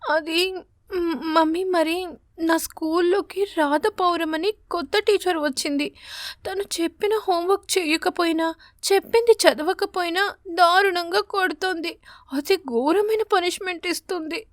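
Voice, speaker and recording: A very high-pitched, frightened little sparrow girl in an animated bedtime story. She whimpers and hesitates, sounding plaintive and close to tears, in a dry close recording. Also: unhurried, no echo. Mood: hesitant, tearful. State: crying.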